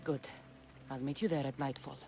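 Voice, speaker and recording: A woman's voice: extremely low pitch, steady tone, normal speed, warm tone, slight background noise. A woman playing a blunt, protective mercenary heroine in a dramatic video game cutscene. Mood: wary, questioning. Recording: music under the dialogue. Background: dramatic game music.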